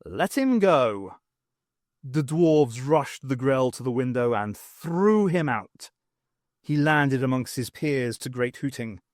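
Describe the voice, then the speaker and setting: A man reading fiction as an audiobook narrator, in an expressive scripted storytelling style. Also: mid-range voice, slow, no room sound, clean studio sound.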